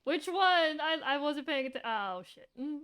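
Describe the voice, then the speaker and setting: A woman giving casual, slangy live commentary as she streams a game, irreverent and quick to swear. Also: high-pitched, animated, fairly bright tone. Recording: game music behind.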